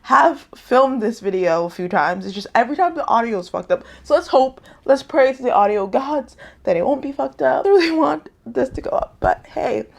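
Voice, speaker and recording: Young woman with a gravelly, rambling chat. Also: fairly high pitch, lively intonation, moderate pace. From United States. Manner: rambling, self-correcting, laughs often. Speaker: vlogger. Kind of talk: casual vlog chat.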